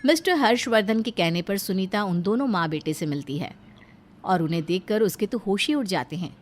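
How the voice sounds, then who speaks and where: A woman's voice: mid-range voice, lively intonation, fairly quick, a little hiss or hum. A woman narrating a scripted moral story as a storyteller.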